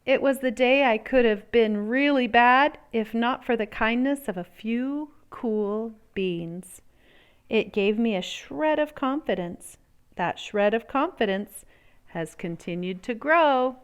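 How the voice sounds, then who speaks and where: A woman reading a children's picture book aloud in an expressive, theatrical way, drawing out words and pausing for emphasis. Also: on the high side, clear, resonant tone, highs cut off.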